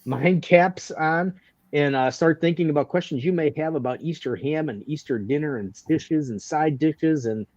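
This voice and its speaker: A man's voice: a little high, normal speed, a little mellow, no echo. Rambling, joking man, slightly dull audio. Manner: rambling, repeats phrases, joking. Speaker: co-host of a barbecue live-stream show. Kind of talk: casual banter and show announcements. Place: video call on a live stream.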